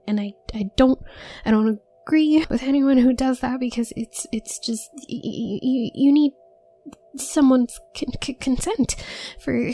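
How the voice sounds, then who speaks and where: A woman playing a shy, anxious, apologetic young witch student in an audio roleplay, with a soft, breathy voice and a heavy nervous stutter. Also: on the high side. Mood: flustered, protesting. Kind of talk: intimate audio roleplay acting.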